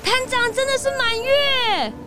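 A woman playing an excitable, childlike young detective's sidekick in a children's audio drama, eager and full of cute exclamations. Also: very high-pitched, measured pace, over loud music. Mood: eager, excited.

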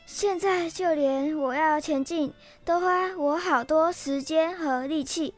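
A woman voicing a caring, weary, aggrieved goldfish in a children's radio play, sighing and expressive. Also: high-pitched, slow pace, faint music behind. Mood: weary, sad.

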